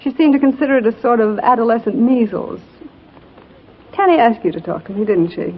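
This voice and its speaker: A woman's voice: a little high, lively intonation, brisk, slight background noise. An actress playing a cheerful, wryly tolerant middle-aged mother in an articulate 1940s radio drama style. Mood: friendly. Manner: articulate, wry. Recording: muffled old 1940s radio recording.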